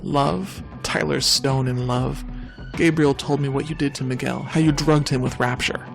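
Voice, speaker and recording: Cartoon matriarch, cool, threatening older mother, creaky voice, pointed delivery, music underneath. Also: extremely low pitch, steady tone, moderate pace. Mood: cold threat. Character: steely, vengeful older mother, coolly threatening. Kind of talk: animated superhero drama acting.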